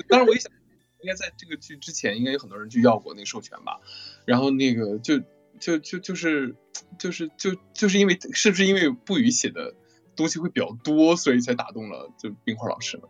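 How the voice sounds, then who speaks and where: A woman's voice: rumbling low, big pitch swings, fast, dull audio, soft background music. A woman hosting a casual interview, teasing and repeating words as she feels for her questions. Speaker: interview host.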